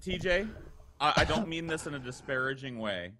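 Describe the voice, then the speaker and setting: A man's voice: on the high side, animated, moderate pace, noisy background. A man chatting as a podcast guest, slipping into mock-solemn pronouncements amid casual banter.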